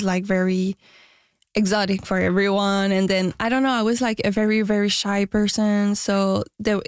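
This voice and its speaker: A woman answers questions about her music in a soft, halting way. Her pitch sits in a middle range. The recording is dry and close. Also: no echo. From Quebec, Canada. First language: French and Spanish. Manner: soft-spoken, hesitant, many fillers and restarts. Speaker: musician guest. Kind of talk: interview answers.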